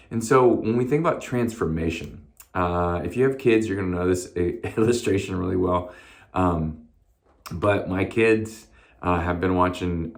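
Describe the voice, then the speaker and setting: Hoarse low-voiced male pastor. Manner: casual, conversational, with frequent fillers and restarts. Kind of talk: short devotional message.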